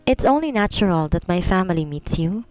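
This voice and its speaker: A woman's voice: on the low side, animated, normal speed, a little mellow, dry recording, soft background music, lightly acted, rough recording. Woman voicing a cold, terse groom. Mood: cold, direct. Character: cold, direct, dangerous young groom, male.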